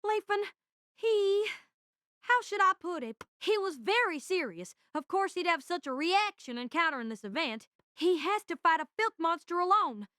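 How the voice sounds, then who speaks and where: A woman playing an earnest, talkative young student inventor in an audio drama, with halting, reflective phrasing. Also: extremely high pitch, big pitch swings, sharp tone, dry recording, studio quality, full character voice. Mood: earnest, troubled.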